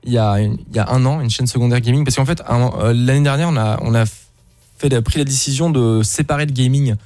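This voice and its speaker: A man's voice: medium pitch, quick pace, a little mellow, dry recording. A man from Luxembourg speaking casually and informally, with slang, as a guest in an interview. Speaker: interview guest, online video creator.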